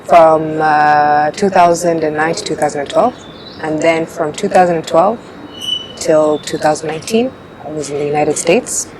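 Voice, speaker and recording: A young woman in her twenties, born in Uganda, answering interview questions in a relaxed way with frequent fillers. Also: low voice, normal speed. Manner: relaxed, laughs often, hesitant fillers. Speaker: pageant contestant guest. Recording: noisy background.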